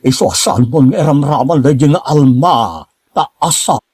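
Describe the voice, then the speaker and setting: A man's voice: a little high, unhurried, a little mellow, slightly rough. A man acting an earnest, talkative and insistent nephew in an Ilocano drama. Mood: agitated, pleading.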